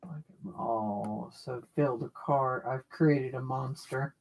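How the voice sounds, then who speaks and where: A craft livestreamer with a loud voice, rambling and thinking aloud while working at a home desk, mic set off the desk. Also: mid-range voice, slow, gravelly, rough recording. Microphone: mic kept off the desk, away from the speaker.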